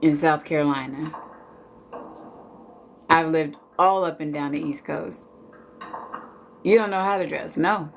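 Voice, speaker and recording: A woman's voice: low voice, unhurried, gravelly, pauses often, a little hiss or hum. A woman in her late forties from Washington D.C., chatting casually and jokingly with viewers on a live stream. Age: 40s. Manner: chatty, laid-back, joking.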